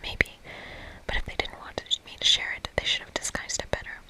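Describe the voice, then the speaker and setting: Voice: brisk, bright, forceful tone. An ASMR reader voicing a proud, earnest camp counselor from a video game, pompous and boastful, all in a whisper.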